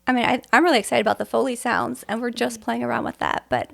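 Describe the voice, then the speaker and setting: A woman's voice: a little high, steady tone, quick pace, vocal fry. A woman chatting casually and enthusiastically as a guest from a theatre production. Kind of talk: casual chat.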